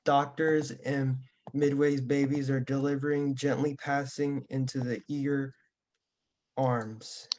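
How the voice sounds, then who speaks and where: A young man, a student volunteer, introducing himself and reading a picture book aloud in a steady, plain way over a video call. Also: mid-range voice, takes it slowly. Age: young adult.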